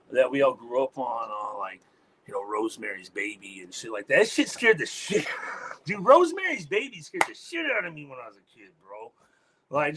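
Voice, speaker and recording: A man being interviewed as a guest musician, talking in a laid-back way, swearing a lot and trailing off into fillers. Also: noisy background. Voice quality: deep voice. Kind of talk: casual interview chat.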